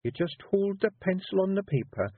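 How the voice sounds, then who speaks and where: A man putting on a clever, bossy little pixie woman with a Scottish accent, coaxing and brisk. The voice is pitched high and lively, slightly husky. It sounds thin and dry, like a phone line. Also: no echo, full character voice. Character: sharp-witted female pixie clan wife, bossy.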